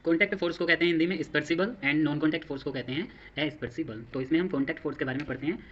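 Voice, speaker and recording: A man's voice: on the high side, racing, dull audio. A man with an Indian accent teaching like a coaching instructor, explaining step by step, repeating phrases and posing questions to himself. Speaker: physics teacher for exam coaching. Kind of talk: classroom lecture mixing Hindi and English terms.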